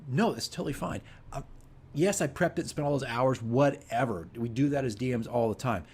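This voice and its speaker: A man's voice: mid-range voice, brisk, a little mellow, breathy edge. A man hosting a casual video diary as a game master, explaining things conversationally and emphatically, often checking in with the listener.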